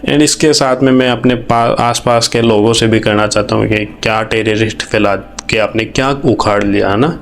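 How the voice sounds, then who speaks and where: A man's voice: fairly low pitch, fairly even intonation, moderate pace, few pauses, a little hiss or hum. A man from India with an Indian accent, hosting an informal, reflective video commentary in an earnest, conversational way, mixing in English phrases. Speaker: video host.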